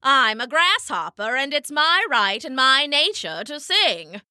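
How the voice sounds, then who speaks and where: A cocky little male grasshopper showman from a bedtime storybook, crooning bragging rhymes in a lively, singsong voice, as a woman narrator puts him on. He sounds bright and close to the mic, with a dry, unechoing sound. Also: high-pitched, measured pace, no echo, pitched above the performer's own voice, exaggerated voice. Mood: smug. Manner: singsong, rhyming, taunting. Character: boastful male grasshopper singer, smug showman.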